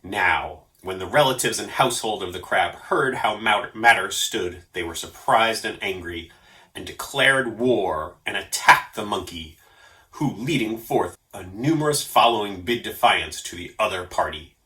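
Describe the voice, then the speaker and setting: A man reading a story aloud as an expressive narrator. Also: on the low side, unhurried, rough recording. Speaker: storyteller for a library story program.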